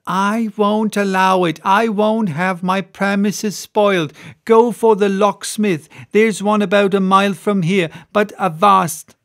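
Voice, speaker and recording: A man voicing a fussy, bossy middle-aged woman innkeeper in an audiobook, with shrill, scolding exclamations. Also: fairly even intonation, slow pace, vocal fry, heavily acted. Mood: stern. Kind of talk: audiobook character voice.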